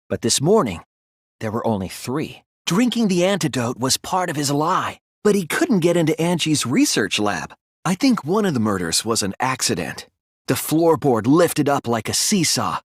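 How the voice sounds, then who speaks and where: Casting: a thoughtful teen boy sleuth for a game dub; earnest, deliberate, slightly breathy, very dry close sound. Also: a little high, no echo. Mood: quiet, subdued. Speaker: video game voice actor. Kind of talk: English video game dub, dramatic deduction dialogue.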